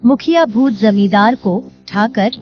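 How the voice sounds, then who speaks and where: A woman's voice: fairly high pitch, unhurried. A woman reading scripted storytelling narration as the narrator. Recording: dull lo-fi audio. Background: music.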